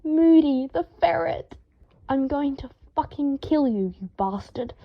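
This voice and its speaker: A woman's voice: sits high, lively intonation, unhurried, muffled recording, pitched above the performer's own voice. A woman reading aloud, voicing a fussy, haughty young wizard man who shrieks in indignant fury. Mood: furious.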